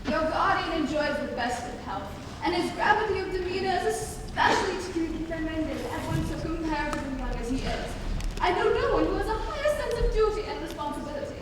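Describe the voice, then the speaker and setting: A very high-voiced, prim governess played by a woman lectures with stern propriety in a stage comedy. The voice comes from far off in a noisy, reverberant hall. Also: animated, brisk. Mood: prim scolding. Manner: formal, admonishing. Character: prim stern middle-aged governess, moralising and proper. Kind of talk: stage dramatic reading of a period comedy. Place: large indoor hall, live performance. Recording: distant, echoey noisy hall.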